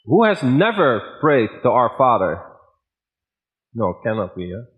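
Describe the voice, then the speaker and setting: A man with a Dutch accent, a guest preacher giving a sermon in simple, plain English at a measured pace. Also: medium pitch, very animated, phone-quality sound.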